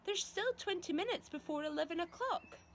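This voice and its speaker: A woman's voice: very high voice, lively intonation, brisk, a little hiss or hum, voice pushed up. A woman reading a children's storybook, voicing an eager, mischievous young boy with a sing-song, calling delivery. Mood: calling back.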